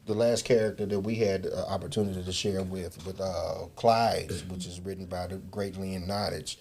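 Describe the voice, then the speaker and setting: Low-voiced man speaking earnestly. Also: fairly even intonation, moderate pace, darkish tone, a little hiss or hum. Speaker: stage actor being interviewed. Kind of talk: reflective conversational interview.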